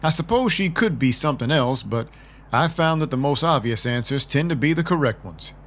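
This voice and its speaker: An actor playing a world-weary but compassionate vampire detective, narrating an audio drama in a dry, conversational first person. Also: male voice, fairly high pitch, expressive, normal speed, a little hiss or hum, natural voice. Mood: dry, explanatory. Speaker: narrator and lead.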